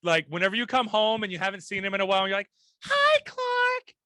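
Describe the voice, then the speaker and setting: A man's voice: sits high, steady tone, rapid-fire, pushed and bright, smooth, dry recording, clean studio sound. A man hosting a casual podcast chat, full of crude jokes and frequent laughter. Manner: crude, joking banter, laughing often. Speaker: podcast host.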